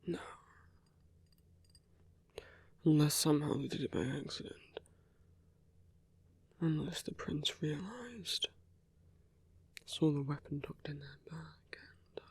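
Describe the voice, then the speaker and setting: A man voicing a charming, flirtatious villain with a tender side in an intimate ASMR roleplay, soft and teasing, often dropping to a whisper. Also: on the high side, gravelly, breathy edge, no echo, heavily acted.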